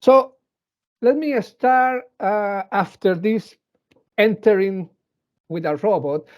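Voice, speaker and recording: A man's voice: high-pitched, slow pace. A man from Venezuela and Colombia, a native Spanish speaker with a Latin American Spanish accent, giving an educational talk to school pupils as a robotics engineer, hesitant with many fillers and restarts.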